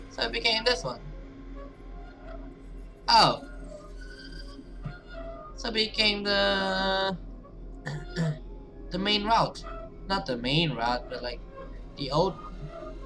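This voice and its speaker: A man's voice: sits high, expressive, extremely slow, long pauses, over music, low-quality recording. A man giving casual, rambling commentary on a game playthrough, reacting and correcting himself as he goes. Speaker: gaming video host. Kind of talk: casual visual novel playthrough, reading aloud with commentary.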